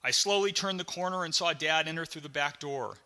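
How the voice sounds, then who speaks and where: A man reading his own memoir as a scripted audiobook, with dramatic, deliberate storytelling. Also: a little high, sharp tone, in a large hall.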